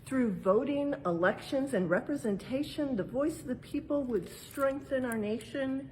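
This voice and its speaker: A woman reading a picture book aloud in a museum exhibit like an educator, pausing for casual explanations and checking in with little tag questions. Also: high voice, a little mellow, slight background noise, low-quality recording. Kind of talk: read-aloud storytime with casual explanatory asides.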